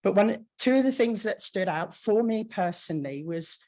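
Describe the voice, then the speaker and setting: A woman presenting at a conference about her team's programme, hoarse from losing her voice, talking in a chatty, informal way with frequent fillers and restarts. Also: mid-range voice, expressive, normal speed, dark tone. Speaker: conference speaker from a government marine team.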